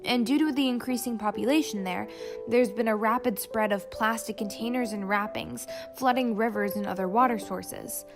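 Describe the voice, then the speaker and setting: A woman reading a scripted documentary narration in a steady, informative way. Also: a little high, moderate pace. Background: music.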